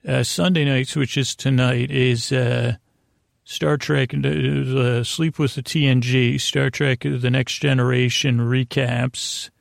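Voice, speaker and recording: A man with a creaky, gravelly voice hosting a bedtime podcast in a meandering, halting, lulling monologue that repeats itself and trails off. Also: mid-range voice, steady tone, no room sound, clean studio sound. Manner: lulling, soothing delivery. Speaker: podcast host. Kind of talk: rambling bedtime storytelling monologue.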